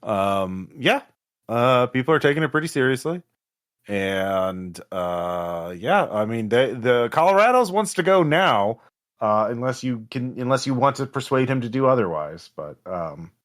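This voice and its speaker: A man's voice: medium pitch, animated, unhurried. A man acting as game master, narrating a tabletop role-playing game in casual speech.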